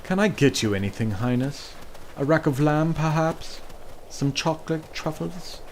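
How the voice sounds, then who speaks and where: A man's voice: a little high, animated, unhurried, a little hiss or hum. A man playing a smug, lecherous, scheming Duke in an audio drama, speaking with mock courtesy and sarcasm. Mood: mock courteous.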